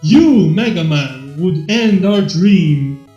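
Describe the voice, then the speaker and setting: A man's voice: a little high, animated, slow, warm tone, reverberant, lo-fi sound, faint music behind. A man voicing a theatrical robot who declaims a grandiose, anguished Shakespearean soliloquy in a fan fiction reading. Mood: bitter, accusing. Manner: grandiose, declamatory stage delivery.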